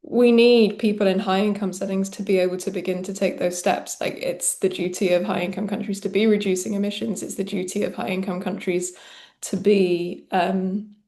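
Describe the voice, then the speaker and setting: Woman answering in an even, flat tone. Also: medium pitch. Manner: quick and fluent, with "like" and "um" fillers. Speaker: panel presenter. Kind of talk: answering a question in a webinar.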